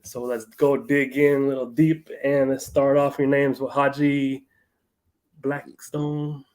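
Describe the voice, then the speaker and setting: A man's voice: medium pitch, little pitch movement, slow pace, a little mellow. A man hosting a casual interview, asking questions in a friendly, encouraging manner. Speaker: host.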